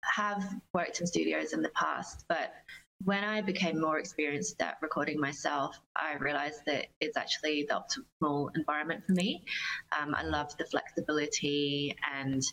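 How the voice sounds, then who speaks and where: A woman giving considered, measured answers in a conversational interview as a singer-songwriter guest. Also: sits low, steady tone, vocal fry, a bit bright, low-quality recording. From Australia.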